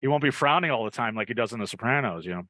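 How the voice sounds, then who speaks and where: A man's voice: mid-range voice, animated, rapid-fire, pushed and bright. A man hosting a podcast with casual banter, musing aloud and tossing in joking asides. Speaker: podcast host.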